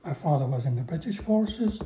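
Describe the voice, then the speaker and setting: A man in his seventies, from Northern Ireland, recalling his life story slowly with pauses in a casual interview. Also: a little high, animated, warm tone, echoey room, slight background noise. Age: 70s. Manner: unhurried, halting recollection with pauses. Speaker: interview guest.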